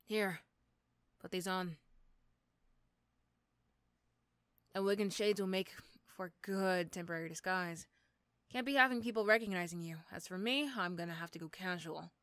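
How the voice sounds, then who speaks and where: A woman's voice: lowish voice, moderate pace, fairly bright tone, clear, resonant tone, no room sound, pitched below the performer's own voice, natural voice. A woman playing a mean but grudgingly sympathetic supervillain in an ASMR roleplay, with a dry, teasing, condescending manner. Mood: brusque, guarded. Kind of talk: ASMR roleplay character acting.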